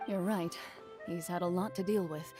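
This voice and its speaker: An actress voicing a calm, terse, unflappable female duelist in game dialogue. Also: lowish voice, moderate pace, music in the background, close to an everyday voice. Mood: composed. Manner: calm, terse, matter-of-fact.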